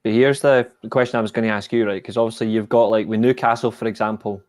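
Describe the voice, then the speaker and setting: A Scottish man with a lowish voice talks football as a podcast co-host. He rambles loosely, filling gaps with "like", in a dry, close-miked sound. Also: fairly even intonation, fast, darkish tone, no echo. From Scotland. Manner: rambling, heavy use of "like". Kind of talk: casual football podcast chat.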